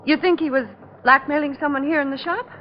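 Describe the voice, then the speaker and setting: An American actress playing a guarded young salon masseuse with polite, clipped control, in old radio drama style. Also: female voice, sits high, fast, natural voice. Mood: cold, controlled. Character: guarded young female salon masseuse hiding cold resentment. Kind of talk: 1940s-50s American radio drama acting. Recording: muffled, noisy old radio recording.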